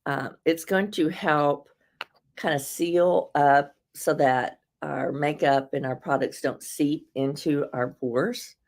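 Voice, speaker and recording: Casting: woman host, low and a touch raspy, chatty and rambling. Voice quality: slightly raspy. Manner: chatty, rambling, laughs often, warm asides to viewers. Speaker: host presenting beauty products. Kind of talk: casual live product demo.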